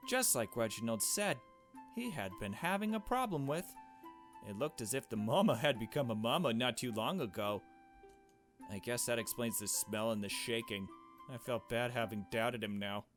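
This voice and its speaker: A man reading a visual novel aloud as a let's player, in hushed storytelling narration with casual asides. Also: mid-range voice, lively intonation, moderate pace, soft background music.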